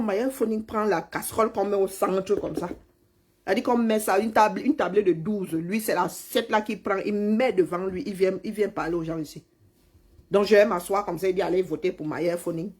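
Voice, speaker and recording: A woman's voice: medium pitch, a little mellow, breathy edge. A middle-aged woman, mockingly scolding a politician in a heated live-stream rant. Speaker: commentator replying to a politician.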